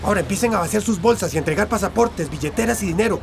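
An actor playing a curt, domineering male people smuggler in a radio drama, barking orders in a gravelly voice. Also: high-pitched, lively intonation, racing, music in the background. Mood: menacing.

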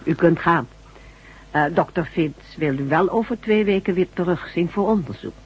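An actress playing a brisk, courteous and soothing nurse in a radio drama, politely giving instructions. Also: female voice, fairly low pitch, breathy edge. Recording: dull, noisy radio drama recording.

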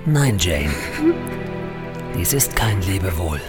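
Pompous, swooping office adventurer over music. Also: male voice, low-pitched, very animated, slow pace, full character voice. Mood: solemn, heartfelt. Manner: pompous, grandiose declamation. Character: heroic, melodramatic male office adventurer. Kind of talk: German comedic radio play acting. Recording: music behind the voice.

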